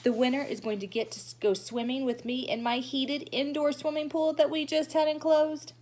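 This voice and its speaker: A woman's voice: sits high, flat delivery, moderate pace, a little mellow, pitched above the performer's own voice, close to an everyday voice. A woman voicing a vain, gushing little rich girl in a children's storybook reading, with a showy sing-song manner.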